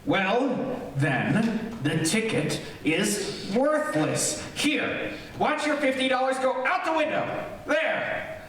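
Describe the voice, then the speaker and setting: Man playing an exasperated high-voiced husband, echoey hall. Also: animated, slow pace, a bit bright, a little hiss or hum, exaggerated voice. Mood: exasperated, aggrieved. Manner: griping, sarcastic. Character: exasperated, bickering husband in a comedy couple. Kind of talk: old-time radio comedy acting. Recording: very echoey hall, distant microphone.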